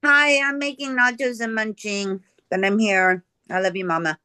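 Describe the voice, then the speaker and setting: Relaxed participant, woman, easygoing and fond, on a video call. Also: medium pitch, moderate pace, clean tone, no room sound, clean studio sound. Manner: casual, affectionate. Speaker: meeting participant.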